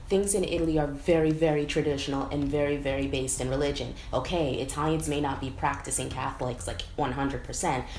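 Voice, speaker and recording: A young American woman talking casually to camera in a vlog, chatty and animated, with lots of "like" fillers. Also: low voice. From United States. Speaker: vlogger. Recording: echoey room, faint background noise.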